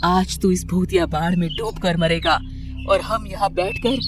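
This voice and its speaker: A woman acts out a cruel cartoon ghost bird bent on revenge. The voice is dropped lower, menacing and gloating. Loud music runs behind it. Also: fairly even intonation, moderate pace, natural voice. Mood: vengeful, gloating. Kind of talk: cartoon villain acting.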